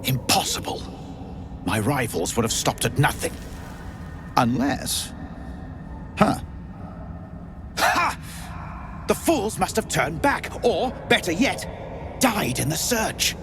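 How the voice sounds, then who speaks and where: A man's voice: a little high, wildly swooping pitch, takes it slowly, gravelly, pauses often, over music, full character voice. A man playing a pompous, scheming drow wizard in a video game, theatrical and haughty but given to obsequiousness. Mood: agitated, suspicious. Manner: theatrical, haughty, stammering when deferential.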